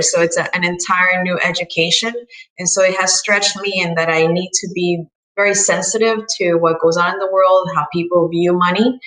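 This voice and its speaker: A woman's voice: fairly low pitch, a bit bright. Guest woman wealth advisor, earnest and encouraging, recorded away from the mic in an echoey room. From Colombia. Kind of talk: podcast interview. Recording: roomy echo, distant mic.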